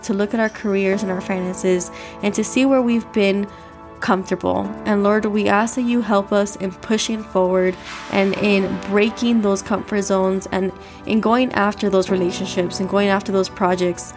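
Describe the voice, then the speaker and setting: Congested woman leading a daily devotional. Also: mid-range voice. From Miami, Florida. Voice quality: nasal. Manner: earnest, upbeat and chatty, with fillers. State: stuffy and congested. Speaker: devotional podcast host. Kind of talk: casual devotional talk and spoken prayer.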